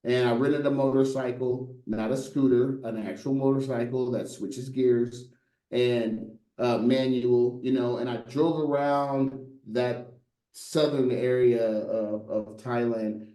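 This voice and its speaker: This is a middle-aged man sharing stories in a relaxed interview. He has a soft, mellow tone and keeps checking in with rhetorical "right?" asides. His voice rings a little in an echoey room. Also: mid-range voice, takes it slowly. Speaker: guest, brand designer. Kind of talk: casual interview chat.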